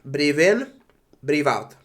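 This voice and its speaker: Slow, sighing congested man. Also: a little high, big pitch swings, fairly bright tone. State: congested with flu. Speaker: streamer. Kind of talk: casual game patch notes reading.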